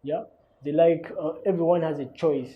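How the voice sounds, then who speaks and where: A man's voice: a little high, steady tone, moderate pace, dull audio, noisy background. A young Ugandan man hosting his own talk show in a loose, chatty monologue, often repeating words and tagging lines with "you know" and "man". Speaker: host. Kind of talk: casual monologue.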